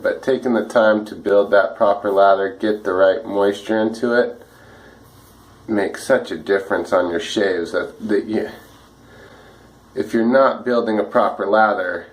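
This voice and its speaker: A man with a slightly rough, dry voice, chatting casually and a bit ramblingly while he shaves at home for his vlog. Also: on the low side, rough recording. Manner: easygoing, rambling, many fillers and restarts. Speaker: wet shaving vlogger. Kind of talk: casual talk while shaving.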